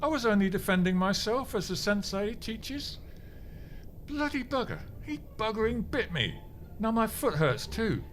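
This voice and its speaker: A man's voice: high-pitched, very animated, unhurried, fairly bright tone, slight background noise, exaggerated voice. A man acting a grumpy, pompous, melodramatic office clerk in a comedy audio drama, blustering with theatrical indignation. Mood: pained indignation. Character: pompous, grumpy, melodramatic male bureau clerk, martial-arts dabbler.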